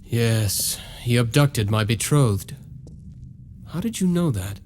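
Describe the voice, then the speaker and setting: A man's voice: mid-range voice, expressive, slow, darkish tone, slight background noise. An actor playing an earnest, determined nobleman turned vampire hunter in a video game, speaking directly. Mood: earnest, resolute. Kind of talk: video game cutscene voice acting.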